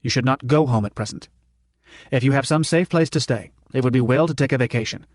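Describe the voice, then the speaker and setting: A man's voice: mid-range voice, dry recording, clean studio sound, lightly acted. A man doing a courtly, fretful old professor. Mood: earnest. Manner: warm, halting, fussy old-world politeness. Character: older male professor, gentle, courtly, fretful.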